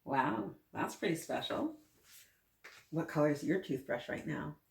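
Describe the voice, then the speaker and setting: Female children's story host, slightly low register, read-aloud with chatty, encouraging asides; echoing room recording. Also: lively intonation, unhurried, creaky. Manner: encouraging, addresses listeners directly with simple questions. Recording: roomy, echoing room sound.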